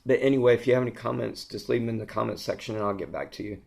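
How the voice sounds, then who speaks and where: A man with a hoarse voice, losing it to a sore throat, giving a rambling, gushing fragrance review in a casual vlog, with lots of ums and restarts. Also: mid-range voice, racing, a little mellow.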